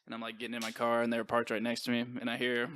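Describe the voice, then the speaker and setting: A man's voice: mid-range voice, monotone, rapid-fire, fairly bright tone, no room sound. A man chatting as a podcast guest, laid-back and slangy, swearing freely. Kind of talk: casual chat over beers.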